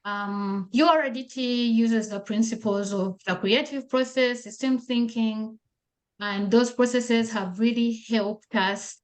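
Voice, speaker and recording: A woman's voice: on the high side, fairly even intonation, slow. A woman from Uganda hosting a video call, giving welcoming remarks with thanks and many fillers. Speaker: event host.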